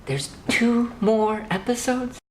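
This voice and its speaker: A co-host of a horror news show giving opinionated, casual commentary in an emphatic way, repeating words for effect. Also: takes it slowly. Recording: roomy echo, background rumble noise.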